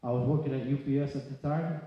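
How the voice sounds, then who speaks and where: Guy w telling his story to a group, halting short phrases, super echoey hall, kinda dull sound. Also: medium pitch, steady tone. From Poland. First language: Polish. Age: 30s or 40s. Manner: halting, plain-spoken delivery in short phrases. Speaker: speaker sharing his story at a recovery meeting. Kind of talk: personal testimony to a group.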